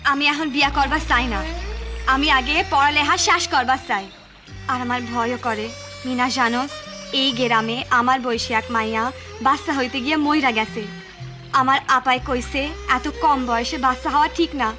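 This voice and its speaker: A woman's voice: high-pitched, fairly even intonation, normal speed, over music, close to an everyday voice. A woman voicing a worried, studious teenage village girl in a cartoon, earnest and plaintive. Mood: anxious. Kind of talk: cartoon voice acting.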